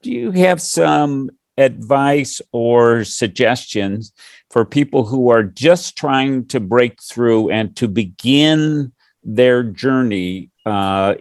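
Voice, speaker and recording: A man moderating a webinar panel in a relaxed, lightly joking way. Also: mid-range voice, dry recording.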